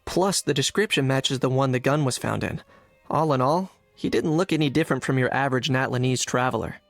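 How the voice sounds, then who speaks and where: A man's voice: medium pitch, brisk, faint music behind, close to an everyday voice. An actor playing a shrewd young man narrating and musing as he investigates smugglers in a game. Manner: thoughtful, inquisitive inner monologue.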